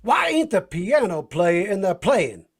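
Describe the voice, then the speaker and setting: A man's voice: high-pitched, sing-song, unhurried, husky, exaggerated voice. A man acting a brash, ornery, teasing adventurer in an old-time radio drama, with an American accent and folksy drawling slang. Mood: demanding.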